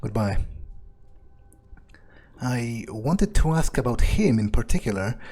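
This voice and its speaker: A man's voice: mid-range voice, sing-song, takes it slowly, darkish tone, slightly rough, heavily acted. A man role-playing a reserved fledgling vampire in a video game playthrough, speaking casually and hesitantly as he muses. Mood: musing, wary. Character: reserved, wary male fledgling vampire, thinking aloud.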